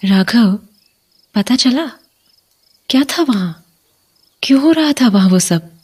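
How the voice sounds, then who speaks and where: A woman playing an anxious, tender young wife and mother in an audio horror story, breathy, urgent and questioning. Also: a little high, animated, slow, darkish tone, heavily acted.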